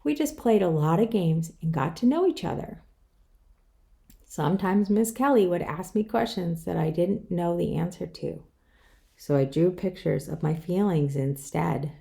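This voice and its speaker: A woman's voice: lowish voice, a little slow, creaky, warm tone. A woman author reading her own children's book aloud, telling it in the first person. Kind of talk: scripted children's story read-aloud.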